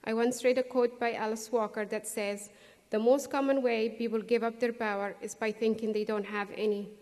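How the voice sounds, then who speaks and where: A middle-aged woman, not a native English speaker, giving an earnest, measured graduation speech with pauses for emphasis. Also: a little high, little pitch movement, darkish tone. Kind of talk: prepared convocation speech. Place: large hall. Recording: distant echoey hall recording.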